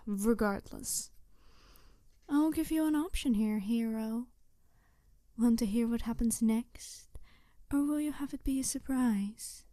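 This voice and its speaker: A woman playing a snarky, bitter supervillain in an ASMR audio roleplay, taunting her captive in a theatrical, deliberate way with breathy whispered touches. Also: fairly high pitch, dark tone, dry recording. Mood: smug, gloating.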